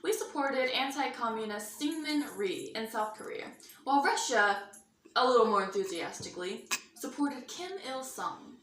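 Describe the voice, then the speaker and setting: A woman's voice: on the high side, lively intonation, moderate pace, a bit bright. A woman reading a mock 1950s news bulletin as anchor, theatrical and sarcastic, recorded in a roomy space away from the mic. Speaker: news anchor in a student-style mock newscast. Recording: roomy echo, distant mic, faint noise.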